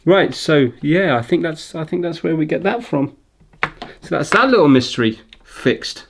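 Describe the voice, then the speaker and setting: A man's voice: fairly high pitch, expressive, normal speed, gravelly, a little hiss or hum. Middle-aged man thinking aloud. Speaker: repair video host. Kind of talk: casual hands-on commentary while working.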